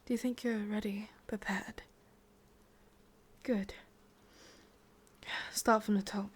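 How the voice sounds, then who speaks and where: A sadistic yandere witch with an English accent, played by a woman in an intimate ASMR roleplay. Her voice is very breathy and mostly whispered, and she speaks slowly and haltingly. She is teasing and possessive, with a secretly tender streak. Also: medium pitch, fairly even intonation. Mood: teasing, commanding.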